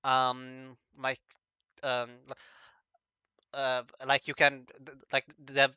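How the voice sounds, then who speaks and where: A man's voice: medium pitch, monotone, takes it slowly, pushed and bright, clear, resonant tone, dry recording, muffled recording. A man hosting a casual chat, speaking very hesitantly and stammering over the starts of words. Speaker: host of a visual novel talk stream.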